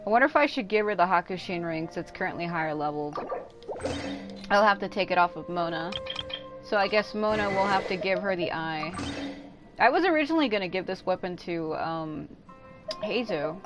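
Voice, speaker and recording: A woman's voice: fairly low pitch, animated, moderate pace. A woman livestreaming a game, chatting in a rambling, informal way with some casual swearing. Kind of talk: casual livestream chat while playing. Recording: game music behind the voice. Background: game music.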